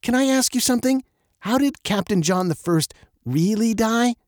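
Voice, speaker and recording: A spirited, curious girl from a children's adventure story, put on by a male narrator. She is eager and quick to push back, her voice lifted high and lively. The reading is dry and close. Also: no room sound. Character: bold curious girl, young teen.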